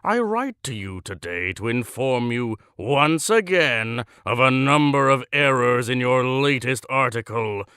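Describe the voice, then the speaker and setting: A man performs a self-important male crank reading out his letter in a comic monologue. His voice is gravelly and forceful, unhurried and full of grandiose pauses, recorded dry and close. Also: medium pitch, expressive, no echo. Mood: pompous, self-satisfied. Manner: pompous, grandiose, theatrical pauses. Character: pompous, deluded male crank writing letters to a newspaper. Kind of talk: comedic monologue read as a letter.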